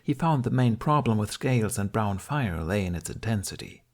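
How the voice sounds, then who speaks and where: A man narrates an audiobook in a low-pitched voice. He reads scripted prose as a storyteller, carrying the tale along line by line. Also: expressive, moderate pace, darkish tone.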